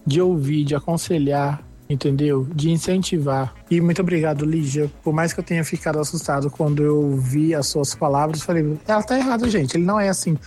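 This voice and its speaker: A man from sends a voice message to a radio show. His voice sits a little high but sounds mellow. He tells his story in a chatty, confiding, expressive way. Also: brisk, few pauses. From Brazil. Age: 20s. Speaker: listener sending a voice message. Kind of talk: recorded voice message.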